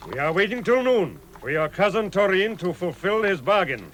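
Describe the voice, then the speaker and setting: An actor playing a stern, noble leader of men in a formal, declamatory 1960s radio drama style. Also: male voice, sits high, very animated, normal speed, a bit bright, slight background noise. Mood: firm. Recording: vintage radio drama, faint music.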